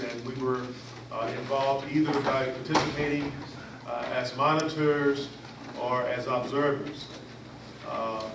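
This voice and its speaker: Distant echoey man chairing a meeting. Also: medium pitch. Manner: halting, many fillers and restarts. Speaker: board chair. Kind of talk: reading a report at a public board meeting. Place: meeting room. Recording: echoey, distant, noisy room recording.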